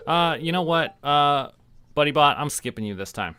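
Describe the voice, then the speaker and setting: A man giving relaxed game stream commentary, casually thinking aloud and talking to himself and the characters. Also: a little high, moderate pace. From Canada.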